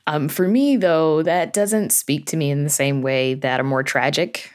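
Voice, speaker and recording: Low-voiced woman in casual interview. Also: a little slow. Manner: chatty, informal, thoughtful explanations. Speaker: interview guest, horror fiction podcast creator.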